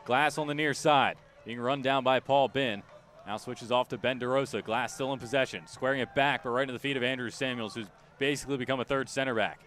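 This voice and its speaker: A man's voice: mid-range voice, fairly even intonation, fairly quick, a bit bright. Man calling live soccer play-by-play. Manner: clipped running description, urgent and emphatic. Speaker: play-by-play announcer. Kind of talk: live radio soccer commentary.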